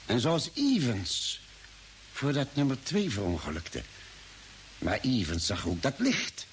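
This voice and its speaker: An actor playing a calm, reasoning spaceship doctor in a science-fiction radio drama, speaking deliberately and probingly. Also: male voice, mid-range voice. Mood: grave. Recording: hissy old radio drama recording.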